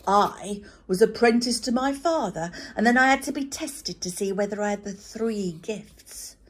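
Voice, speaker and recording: A woman's voice: mid-range voice, measured pace, close to an everyday voice. A woman voicing a stern, irritable master craftsman in a storybook, grand and scolding, with great emphasis. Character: stern, irritable father, master firework maker.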